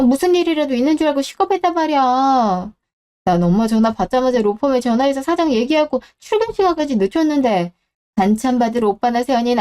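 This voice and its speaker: A woman voicing a blunt, sharp-tongued daughter in her fifties in a radio drama who speaks in an exasperated way. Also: high voice. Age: 50s.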